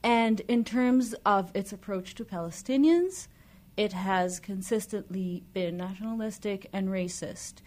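A woman from Israel with an Israeli accent, speaking as an interview guest in a deliberate, emphatic, explanatory way. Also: medium pitch, darkish tone. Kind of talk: explanatory political discussion.

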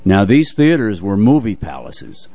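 Older man, low voice, dry close sound. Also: big pitch swings, unhurried, mellow, no echo, soft background music. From United States, New Jersey area. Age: 80s. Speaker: radio music show host. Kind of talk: casual reminiscing radio talk. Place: radio studio.

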